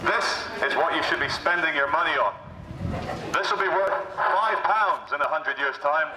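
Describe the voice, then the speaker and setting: A man's voice: fairly high pitch, moderate pace, echoey room, distant mic, heavy background noise. A man in his thirties doing sarcastic street satire through a megaphone outdoors in a busy city street. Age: 30s. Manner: mock-patriotic, sarcastic, declaiming. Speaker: street activist comedian. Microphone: megaphone, filmed by handheld camera.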